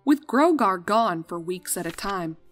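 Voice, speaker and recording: A woman reading a fan fiction story aloud as an expressive narrator. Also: mid-range voice, a little slow, soft background music.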